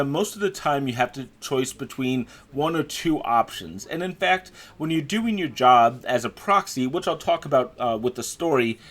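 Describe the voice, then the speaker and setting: A man's voice: medium pitch, fairly bright tone. A man giving a conversational, opinionated voice-over review as a video game critic.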